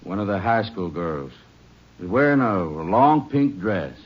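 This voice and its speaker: Cornered small-town suspect, low husky American voice, swinging delivery, defensive and halting, dull radio sound. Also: male voice, very animated, full character voice. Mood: insistent, evasive. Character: evasive, defensive male small-town crime suspect, a father. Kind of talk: 1950s radio drama acting. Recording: muffled vintage radio recording.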